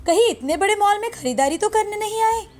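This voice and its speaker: A woman's voice: extremely high pitch, lively intonation, fairly quick, a little mellow, faint music behind, voice pushed up. A woman voicing a haughty, sneering rich wife and mother from a moral story, condescending and mocking.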